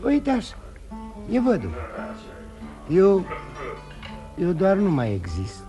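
An actor in a radio play voicing a ruined drinking man who confesses his failed life with self-loathing, halting and repeating himself. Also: high-pitched, huge pitch swings. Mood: weary, confiding. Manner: rambling confession, halting with emotional repetitions. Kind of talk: theatrical radio drama acting. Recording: radio play with guitar music behind.

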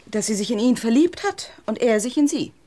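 A woman's voice: on the high side, animated, a little fast, mellow. An actress playing a bitter, accusing young woman in a radio drama, blunt and insistent.

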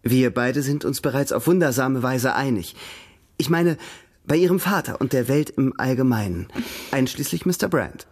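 A man's voice: mid-range voice, expressive, normal speed. An actor in a radio drama playing an eager, lovestruck male suitor, speaking courteously and effusively. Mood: pleading, eager.

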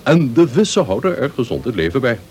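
Man as genial chatty professor, mid-pitched, very animated, expansive, radio drama. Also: fairly quick, natural voice. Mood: eager, explanatory. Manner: jovial, expansive. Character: jovial, chatty male professor, an oceanologist. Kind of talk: radio play acting.

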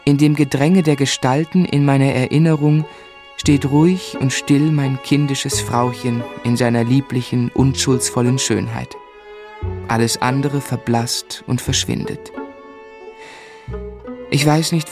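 A reflective young husband as an actor plays him narrates a radio drama in a medium-pitched voice. He is tender, quiet and wistful, with music behind him. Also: unhurried, lightly acted. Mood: wistful narration. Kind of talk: scripted first-person radio drama narration.

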